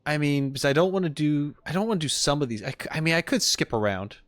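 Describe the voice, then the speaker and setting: A man's voice: fairly high pitch, animated, fast, no room sound. An American man chatting casually on a reaction stream, rambling and joking as he goes. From United States.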